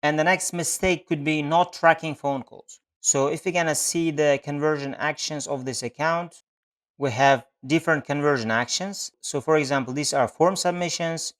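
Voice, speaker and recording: A man's voice: a little high, moderate pace. A man narrating an instructional screen-share tutorial, explaining step by step with non-native phrasing. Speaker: Google Ads instructor.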